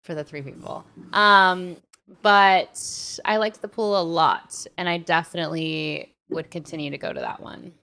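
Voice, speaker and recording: Woman chatting casually, slightly low voice. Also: measured pace, bright, forceful tone. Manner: informal, slangy, thinking aloud. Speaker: podcast co-host. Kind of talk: casual podcast chat.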